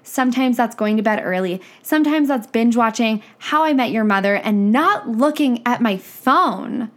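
A woman's voice: on the high side, expressive, normal speed. Young woman, nasal and congested from a cold; frank, chatty business coach on a podcast. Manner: chatty, frank, says "like" and "right?" often. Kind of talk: casual podcast monologue.

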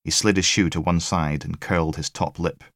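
A man's voice: sits low, steady tone, moderate pace, fairly bright tone, no echo, studio quality. A man reading a crime thriller as scripted audiobook narration.

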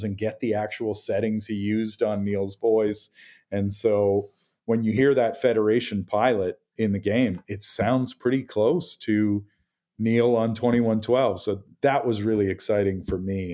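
Deep-voiced man, chatty and relaxed. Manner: relaxed, chatty, many fillers and restarts. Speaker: pinball game designer. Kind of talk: casual interview answers.

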